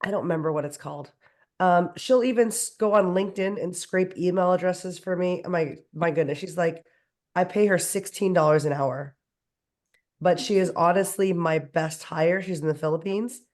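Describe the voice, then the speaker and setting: A woman with a hoarse voice worn down by a cold, pitched on the lower side. She speaks with enthusiasm in a casual panel chat and piles up long lists as she goes. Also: normal speed, darkish tone. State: hoarse from a cold, losing her voice.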